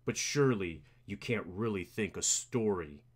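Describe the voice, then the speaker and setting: A man's voice: fairly low pitch, animated, moderate pace, pitched below the performer's own voice. A man voicing a brooding young rich comic-book hero in a terse, serious manner. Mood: earnest, skeptical.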